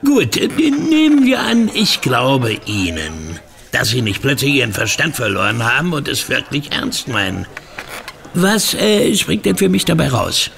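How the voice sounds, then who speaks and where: An actor playing a cheeky, mocking safecracker crook in a German radio play, with a sardonic, hesitant drawl. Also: male voice, medium pitch, very animated, a little slow, creaky, a bit bright, heavily acted. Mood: incredulous, wary.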